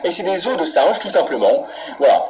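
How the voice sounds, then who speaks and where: A man's voice: on the high side, sing-song, brisk, darkish tone. A man putting on a menacing, candy-obsessed angry customer in a prank phone call, ranting and swearing insistently. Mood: indignant. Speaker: prank caller. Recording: very noisy phone prank recording.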